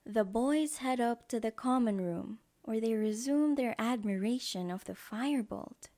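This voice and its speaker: A woman's voice: fairly high pitch, measured pace, warm tone. A woman giving scripted narration for an audio drama as its storyteller.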